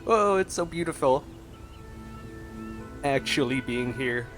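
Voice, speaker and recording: A man's voice: mid-range voice, expressive, takes it slowly. A man streaming a game with casual reaction commentary, given to wry, joking asides. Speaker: gameplay streamer. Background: faint game music.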